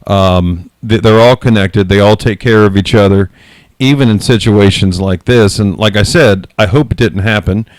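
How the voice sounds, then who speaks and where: A man with a loud voice hosting a casual podcast, speaking frankly with many self-corrections. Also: fairly low pitch, moderate pace, no room sound. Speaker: podcast host.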